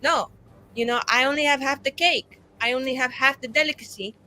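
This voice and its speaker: A woman's voice: high voice, brisk, bright, forceful tone, slight background noise, poor audio. A woman playing a flamboyant, tipsy elf writer who rambles and boasts with self-dramatising flair in a tabletop game. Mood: indignant, insistent.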